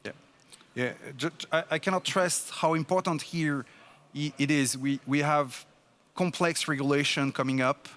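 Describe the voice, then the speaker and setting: A man's voice: fairly high pitch, normal speed, a bit bright. A man speaking at a tech conference in an emphatic, encouraging way. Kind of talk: conference presentation.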